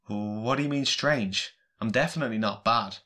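A man's voice: lowish voice, normal speed, bright, forceful tone. A man playing a bewildered, indignant dead everyman in a radio drama, questioning everything in exasperation. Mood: indignant, baffled.

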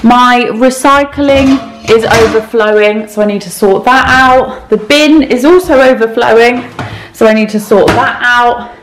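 A woman's voice: a little high, measured pace, over music. Chatty woman in an echoey kitchen. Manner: chatty, self-mocking, talks while moving and tidying. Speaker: cleaning vlogger. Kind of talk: casual clean-with-me vlog chat. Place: home kitchen and rooms, indoors. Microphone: camera mic, handheld and set on the counter.

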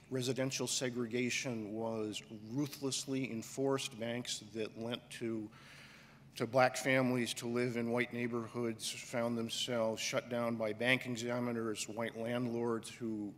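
A middle-aged man giving a keynote speech from a podium on an auditorium stage, speaking deliberately and emphatically with pauses. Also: mid-range voice. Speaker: conference director giving a keynote. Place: large auditorium stage, indoors. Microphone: podium microphone. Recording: reverberant hall with faint room noise.

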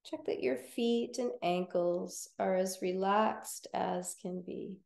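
A woman teaching yoga nidra, guiding relaxation in a gentle, soothing, unhurried manner with long pauses. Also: medium pitch, creaky, reverberant. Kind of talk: guided relaxation meditation.

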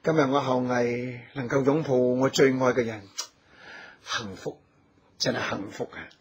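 A man acting a devoted, gentle hunter hero with a husky voice in a Hong Kong Cantonese radio drama. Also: medium pitch, measured pace, muffled recording. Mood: tender, earnest. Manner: gentle, solicitous, earnest. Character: tender, devoted hunter hero and new husband.

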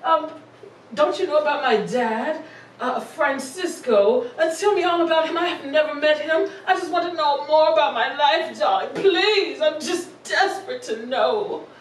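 A woman's voice: high voice, normal speed, natural voice. A woman playing a needy, vain socialite caller in a comedy skit, pleading and gushing with theatrical drama. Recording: echoey, distant mic, hissy background noise.

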